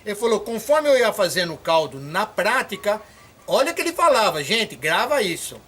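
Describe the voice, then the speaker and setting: Folksy high-voiced older farmer. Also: male voice, very animated, fairly quick, a bit bright, breathy edge. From Brazil. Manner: folksy, encouraging, addresses viewers directly. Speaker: orchard farmer teaching. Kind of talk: hands-on demonstration.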